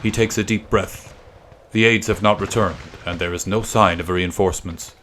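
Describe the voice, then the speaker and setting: A man narrating a story from a script as a podcast reader, in a measured, suspenseful, dramatic style. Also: low voice, fairly even intonation, a bit bright, a little hiss or hum.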